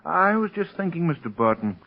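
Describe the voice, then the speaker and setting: Suave radio detective, lively swooping pitch. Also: male voice, brisk, soft background music. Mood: helpful, smooth. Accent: American. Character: suave, self-assured wealthy amateur detective, teasing and determined. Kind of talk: 1930s radio drama acting. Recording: muffled, rough 1930s radio recording.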